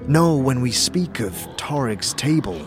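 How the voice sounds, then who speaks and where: A man voicing a stern githzerai warrior teacher who speaks in measured, solemn, formal aphorisms. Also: mid-range voice, animated, over music, lightly acted. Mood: solemn, instructive.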